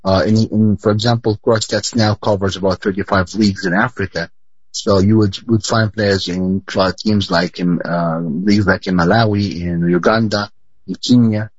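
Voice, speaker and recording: Halting, husky low-voiced man in an interview. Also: steady tone, no echo. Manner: halting, repeats and rephrases words. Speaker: sports company board chairman, interviewee. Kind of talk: live broadcast interview. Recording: dull lo-fi audio.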